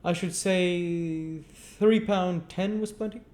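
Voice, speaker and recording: A solemn board official from a storybook, performed by a man, speaking in a raised, high pitch. He is stingy, curt and firm. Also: fairly even intonation, slow, a little mellow. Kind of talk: storybook character voice.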